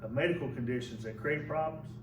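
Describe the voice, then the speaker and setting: A man with a loud voice, giving plain-spoken, folksy advice as a local official. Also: medium pitch, fast. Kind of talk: public address. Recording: echoey room, mic far off, background noise.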